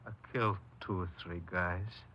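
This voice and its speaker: An actor playing a bitter, paranoid killer giving a halting confession in a 1950s radio crime drama. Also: male voice, low voice, fairly even intonation. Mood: bitter menace. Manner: halting, brooding, resigned confessional delivery. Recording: dull, noisy 1950s radio recording.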